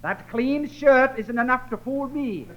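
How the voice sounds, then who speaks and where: An actor playing a mad, scheming villain baron in a theatrical 1930s radio comedy style. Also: male voice, extremely high pitch, unhurried. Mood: gleeful menace. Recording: muffled, noisy 1938 radio broadcast.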